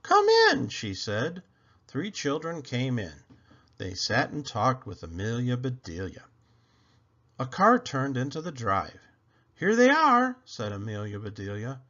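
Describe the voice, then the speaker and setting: A man reading a children's picture book aloud as a storyteller, with expressive, dramatic emphasis. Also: medium pitch, a little slow, dull audio. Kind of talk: animated read-aloud narration.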